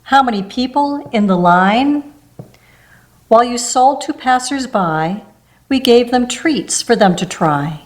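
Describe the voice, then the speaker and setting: A woman reading a rhyming children's picture book aloud, gentle and unhurried, with small asides between the pages. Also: on the high side, expressive. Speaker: storybook reader.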